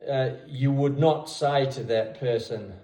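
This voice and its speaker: A man's voice: mid-range voice, measured pace, dark tone. Male tutor, patient and repetitive, recorded in a roomy space at a distance. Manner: patient, repeats words, stammering restarts. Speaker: English tutor. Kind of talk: casual one-on-one language lesson.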